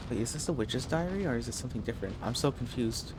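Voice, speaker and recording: A woman's voice: a bass voice, expressive, fast, background noise. A woman giving casual, questioning commentary while streaming a game.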